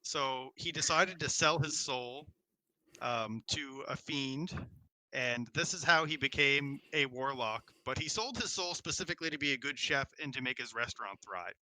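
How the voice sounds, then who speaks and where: A man's voice: medium pitch, lively intonation, moderate pace, sharp tone, no room sound, poor audio. A man playing a tabletop game, telling a story in a casual, humorous style.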